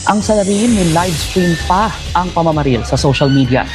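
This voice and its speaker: A man's voice: high-pitched, animated, normal speed. A man with a Filipino accent reading scripted radio news as a co-anchor. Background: music bed.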